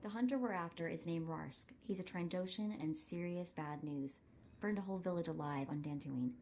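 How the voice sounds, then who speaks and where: An actress voicing an eager, determined young streetwise sidekick in a cinematic video game, earnest and spirited. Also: female voice, lowish voice, moderate pace, darkish tone, a little hiss or hum, close to an everyday voice. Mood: confident briefing.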